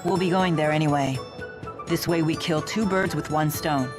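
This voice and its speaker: A woman acts a dignified, self-assured young warrior from a game story. Her low voice is composed and direct, with formal phrasing. Music is clearly heard behind her. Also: moderate pace, natural voice. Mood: firm, composed. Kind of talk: English game dub acting. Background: background music.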